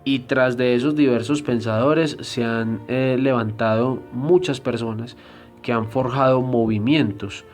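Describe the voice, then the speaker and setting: A man lectures on church history in a measured, explanatory voice, neither high nor low. He often stops to hesitate before pushing on. Faint music plays softly behind him. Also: fairly even intonation. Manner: deliberate, explanatory, frequent hesitation fillers. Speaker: Bible teacher.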